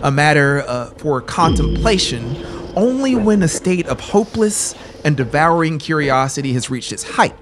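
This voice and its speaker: A man in his thirties narrating a horror story in a deliberate, formal, intense, dramatic way. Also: a little high. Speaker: audiobook narrator. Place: small brick-walled room studio. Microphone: close boom-mounted broadcast microphone.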